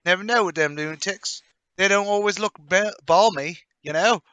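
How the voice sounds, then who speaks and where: Radio drama: plain-spoken young working man man acting, chatty and colloquial, high-pitched, forward bright tone. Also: moderate pace, smooth, no room sound. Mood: chatty, opinionated. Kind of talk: radio mystery drama table read.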